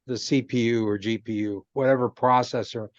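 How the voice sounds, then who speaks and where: A man's voice: mid-range voice, fairly even intonation, moderate pace, no room sound, muffled recording. A man in a casual video call chat, explaining technical ideas patiently with lots of "um" and restarts. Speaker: guest explaining chip technology. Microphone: video call microphone.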